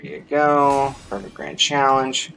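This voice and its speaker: A man's voice: mid-range voice, monotone, slow pace, gravelly, fairly bright tone, slight background noise, poor audio. A man giving casual gameplay commentary as a streamer, thinking aloud as he goes. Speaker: game streamer.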